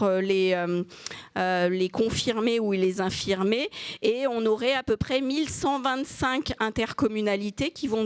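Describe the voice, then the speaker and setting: A woman moderating a conference session in a semi-formal, somewhat halting way. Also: a little high, clear, resonant tone, no echo. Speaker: moderator opening a panel session.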